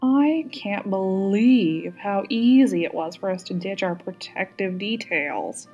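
A woman's voice: medium pitch, animated, takes it slowly, a little mellow, dull audio, faint music behind. A woman voicing a tipsy, haughty, commanding female prime minister in a storybook reading. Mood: tipsy delight. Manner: haughty, loosened by drink.

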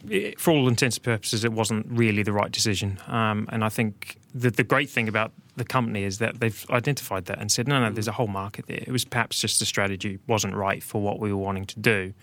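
A man's voice: lowish voice, fast talker, hardly any pauses, no echo. A man in his thirties with a New Zealand accent, a guest in a studio interview, talking easily with lots of ums. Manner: relaxed, many ums. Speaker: podcast guest. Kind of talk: casual interview.

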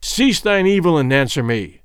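A man's voice: a little high, big pitch swings, unhurried, no echo, studio quality. A man voicing a stern warrior king in a storybook, threatening and imperious, speaking in solemn archaic phrases. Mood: angry.

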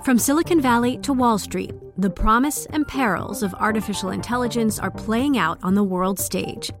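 A woman's voice: fairly high pitch, moderate pace. A woman reads a scripted event promotion in a polished, announcer-like delivery. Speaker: event ad presenter. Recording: music bed under voice.

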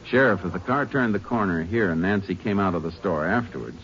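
A calm lawman narrator in a 1950s radio western, very deep voice; dull old broadcast with faint music. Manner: calm, measured, matter-of-fact. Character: steady Texas Ranger, calm lawman narrator.

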